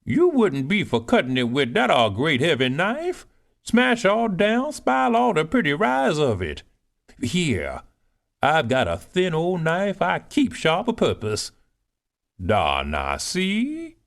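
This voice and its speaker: A proud older cook, put on by a male reader, pitched high and swooping wildly up and down as she bustles and brags. Scolding, lively and full of herself, like a storybook kitchen boss. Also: takes it slowly, creaky, heavily acted. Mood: bustling. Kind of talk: audiobook character reading.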